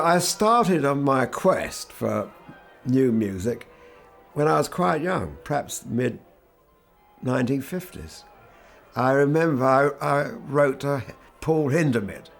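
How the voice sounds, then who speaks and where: An older man, a guitarist, telling anecdotes from his life in a relaxed, wry, conversational way. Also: mid-range voice, gravelly, husky. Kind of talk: reflective anecdotal storytelling.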